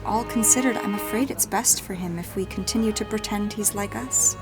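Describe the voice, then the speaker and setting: Actress as genteel fantasy lady, mid-pitched, gentle and considered, music clearly underneath. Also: fairly quick, close to an everyday voice. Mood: tender, wistful. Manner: polite, genteel, thoughtful. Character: gentle, well-spoken woman fond of a foundling. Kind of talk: fantasy audio drama acting.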